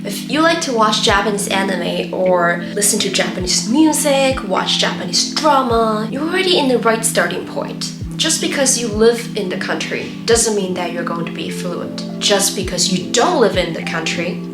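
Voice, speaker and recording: A woman whose first language is Mandarin Chinese, hosting her own channel and giving earnest, steady tips in scripted tutorial narration. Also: mid-range voice, moderate pace, hardly any pauses. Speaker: YouTube host. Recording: roomy echo with loud background music.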